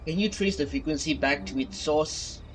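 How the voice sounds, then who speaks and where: A man's voice: fairly high pitch, normal speed, low-quality recording. A man doing let's play commentary on a story game, reading dialogue choices aloud. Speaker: gamer reacting to a story quest. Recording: game music behind.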